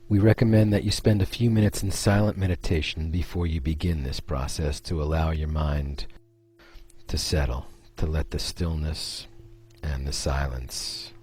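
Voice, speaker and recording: A man leading a guided meditation, speaking in a slow, soothing way with pauses between phrases. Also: extremely low pitch, fairly even intonation, darkish tone, breathy edge.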